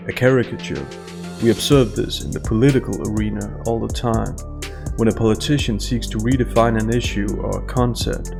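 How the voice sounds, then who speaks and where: A man giving scripted analytical narration in a measured, formal manner over instrumental music. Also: on the low side, vocal fry. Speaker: host analyst of statements.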